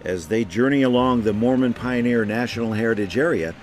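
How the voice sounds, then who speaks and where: A man's voice: mid-range voice, fairly quick, a little mellow, slight background noise. A man hosting a travel show, reading scripted narration. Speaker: host and narrator.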